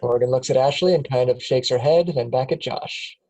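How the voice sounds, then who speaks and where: Guy reading out script directions at a table read, mellow middling voice. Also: mid-range voice, fairly quick, rough recording. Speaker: stage directions reader.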